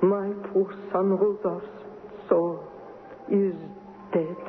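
An actor playing a grave, grieving royal father, slow and solemn, in a 1970s radio drama. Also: high voice, little pitch movement. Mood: grave, mournful. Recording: reverberant, tinny old radio, faint noise.

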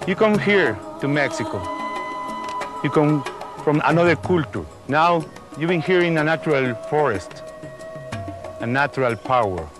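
A man speaking as a keeper of an Aztec tradition, giving a slow, solemn ceremonial address outdoors in a forest. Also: a little high.

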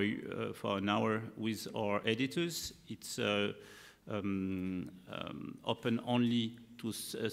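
A man in his fifties with a French accent, a native French speaker presenting on a conference panel in halting English, searching for words. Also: on the low side, creaky, fairly bright tone. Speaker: media executive, panel speaker. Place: conference hall, indoors. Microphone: table gooseneck microphone. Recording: echoey hall, panel microphone.

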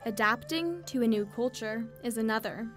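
A woman's voice: a little high, lively intonation, normal speed, a bit bright, faint music behind. A young woman reading scripted narration for a documentary. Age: young adult.

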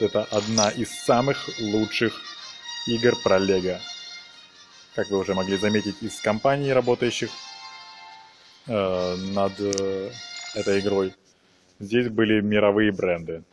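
A man giving casual, chatty game commentary as a let's-play host, explaining hesitantly as he plays. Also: on the low side. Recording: game music behind the voice. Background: video game music.

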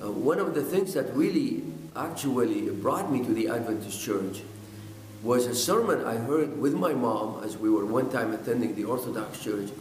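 An older man from Iraq gives a personal testimony straight to the camera, talking earnestly and haltingly with repeated words and fillers. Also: mid-range voice, animated, darkish tone, a little hiss or hum. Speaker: pastor. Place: indoors, in front of a bookshelf. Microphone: camera at close range. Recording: echoey room.

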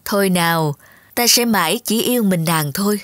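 A woman's voice: mid-range voice, moderate pace, a bit bright, dry recording, studio quality, lightly acted. A woman voicing an earnest, trusting young king with a courtly manner in a children's fairy-tale cartoon. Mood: tender, courtly.

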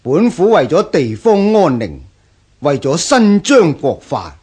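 An actor playing a patient, principled prefect in a radio drama, measured and earnest, with a Hong Kong Cantonese accent. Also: male voice, on the high side, very animated, a little mellow, husky, full character voice. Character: patient, principled male scholar-official, a prefect.

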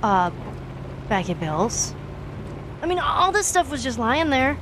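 A woman's voice: high voice, sing-song, unhurried, noisy background. An actress playing a feisty, curious teenage girl survivor in a video game, with an American accent and a sassy, playful manner. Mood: chatty, curious. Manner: sassy, foul-mouthed, playful. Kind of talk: cinematic video game acting.

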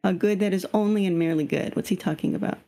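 A woman's voice: fairly low pitch, fast talker, gravelly, dark tone. A woman leading an online reading group on a video call. Her voice is slightly scratchy, and she explains patiently and keeps asking the group questions. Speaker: study group leader.

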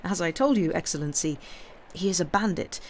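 A woman's voice: on the low side, fairly quick, darkish tone, slight background noise, lightly acted. A woman reading aloud, voicing a grave, formal male innkeeper who is easily offended. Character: fussy earnest Italian male innkeeper.

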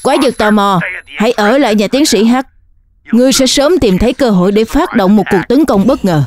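A woman's voice: fairly high pitch, a little fast, a little mellow, natural voice. A woman voicing a scheming villain general who commands monsters in a children's cartoon, calculating and authoritative. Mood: smug, plotting. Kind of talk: dubbed children's cartoon acting.

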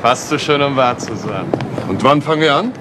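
A man's voice: medium pitch, animated, moderate pace, natural voice. Man doing sly garage guy, cocky clipped talk, old radio play, really noisy. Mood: breezy, cocky. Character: brash male garage mechanic, cocky, sly. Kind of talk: 1970s German radio crime drama acting. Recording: old radio recording with loud background noise.

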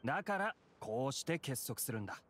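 Friendly game inmate: medium pitch, very varied intonation, earnest and plain-spoken. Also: male voice, very animated, fairly quick, a bit bright, slight background noise. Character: friendly, earnest male inmate in a mutual aid group. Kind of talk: anime game voice acting.

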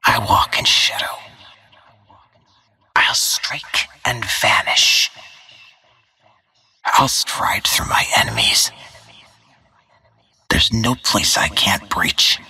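An actress playing a stealthy, menacing female assassin in a video game, speaking in a hushed, husky voice with cold confidence and slow, deliberate delivery. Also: rumbling low, sing-song, in a whisper, exaggerated voice. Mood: cool, confident. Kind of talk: video game combat voice lines.

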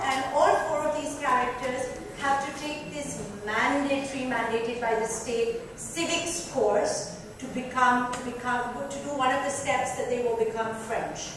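A woman giving an informal, emphatic, chatty introduction to a book for an audience. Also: fairly high pitch. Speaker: author introducing her book at a reading. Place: club lounge with seated panel, indoors. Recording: echoey room, distant mic, clear noise.

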